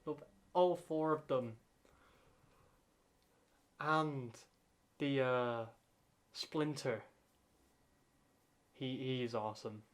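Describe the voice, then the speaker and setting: A man reacting casually off the cuff, in a halting way, often repeating and rephrasing himself. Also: mid-range voice. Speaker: reactor and channel host.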